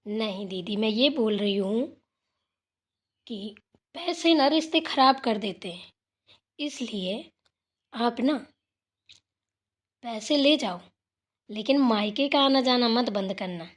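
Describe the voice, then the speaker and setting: A high female voice of an actress plays a generous elder sister-in-law in a village cartoon. She speaks earnestly and reassuringly, persuading in a homely, gentle way. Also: lively intonation, takes it slowly, pauses often. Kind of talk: Hindi family moral cartoon dialogue.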